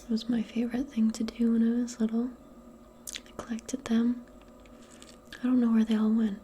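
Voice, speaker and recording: A woman role-playing in soft-spoken ASMR, speaking gently and soothingly in a breathy, mostly whispered voice. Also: a little high, completely flat delivery, slight background noise. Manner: gentle, soothing, unhurried, conversational. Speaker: ASMR performer role-playing a modern witch.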